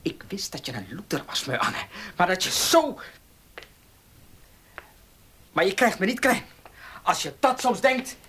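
Husky, earnest young farmer. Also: male voice, high voice, big pitch swings, normal speed, bright, forceful tone, heavily acted. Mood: indignant. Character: earnest young horse-loving farmer, proud, short of money. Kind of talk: Dutch radio play acting.

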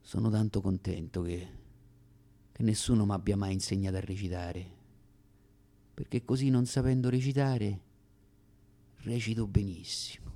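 A man's voice: on the low side, moderate pace, vocal fry, long pauses, warm tone. An actor playing a young aspiring comic from Rome, telling his story with a Roman accent in a dramatic, self-mocking radio monologue. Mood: reflective.

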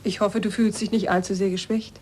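A woman acting a caring but firm carer in a 1940s German radio drama, brisk and admonishing. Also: mid-range voice, level tone, a little mellow, natural voice. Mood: concerned, firm. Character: caring, firm woman tending an old man. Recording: noisy 1940s radio recording.

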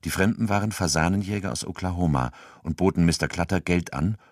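A man reading scripted literary narration as a narrator, measured and matter-of-fact. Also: deep voice, dry recording, clean studio sound. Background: music.

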